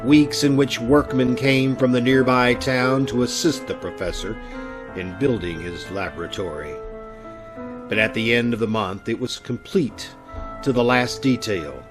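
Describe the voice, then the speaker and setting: A man playing a mysterious storyteller, narrating a radio drama in a measured, dramatic way. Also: mid-range voice, lightly acted. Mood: ominous. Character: mysterious male storyteller narrating an eerie tale. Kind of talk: old-time radio scripted narration. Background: music.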